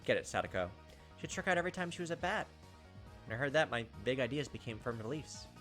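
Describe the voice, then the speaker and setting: A man with a mid-range voice reads a visual novel aloud for a gameplay video. His narration is dramatized and playful. Soft music runs underneath. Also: medium pitch, very animated, fast talker.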